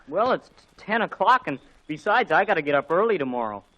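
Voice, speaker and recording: An actor playing an evasive, sleepy teenage boyfriend with a halting, sheepish manner in a 1940s radio comedy. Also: medium pitch, big pitch swings, gravelly, pushed and bright, exaggerated voice. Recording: dull lo-fi 1940s radio broadcast.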